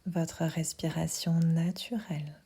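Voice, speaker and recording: Slow, soft, breathy woman guiding meditation. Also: low voice. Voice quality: soft, breathy, half-whispered. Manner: calm, soothing, unhurried instructions with pauses. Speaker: yoga nidra guide. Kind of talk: guided sleep meditation.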